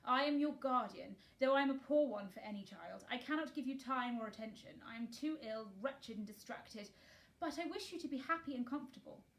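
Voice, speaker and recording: A troubled, weary gentleman, played by a woman reader from a storybook. He speaks formally and emphatically, halting at times, with the air of a wretched guardian. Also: on the high side, natural voice.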